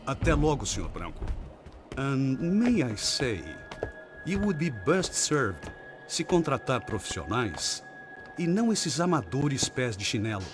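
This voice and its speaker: An actor playing an assured police official in a video game, formal in manner. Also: male voice, medium pitch, very animated, a little slow. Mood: cutting politeness. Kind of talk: video game cutscene acting. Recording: dramatic music behind the voice.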